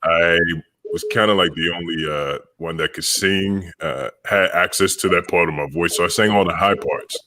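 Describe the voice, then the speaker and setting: A man who sings bass, telling stories in a relaxed way with many fillers on a video call. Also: normal speed, slight background noise. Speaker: opera singer, bass. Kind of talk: casual panel chat.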